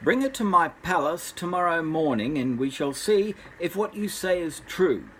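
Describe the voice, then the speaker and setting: A man voicing a greedy, stern king in a storybook, giving orders in a deliberate, imperious way. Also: on the high side, lively intonation, a bit bright, slight background noise, pitched above the performer's own voice, low-quality recording. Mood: haughty.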